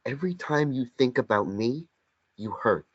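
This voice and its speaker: A man voicing the ghostly memory of a dead lover in a table read of a stage play, speaking in a slow, gentle, earnest way. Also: medium pitch, lively intonation, breathy edge. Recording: dull lo-fi sound.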